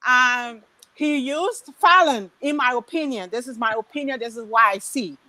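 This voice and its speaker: A woman's voice: a little high, moderate pace, sharp tone, low-quality recording. A woman from Liberia calling in on a video call and emphatically sharing her opinions. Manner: emphatic, gives opinions, laughs often. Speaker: caller. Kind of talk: casual opinionated chat.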